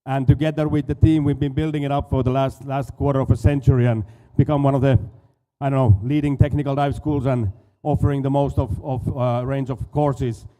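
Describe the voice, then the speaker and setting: A man's voice: mid-range voice, level tone, a little fast, dark tone. A Finnish-accented man in his forties giving a casual expo stage talk, often stumbling and repeating words. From Finland. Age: 40s. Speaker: conference speaker, dive school owner.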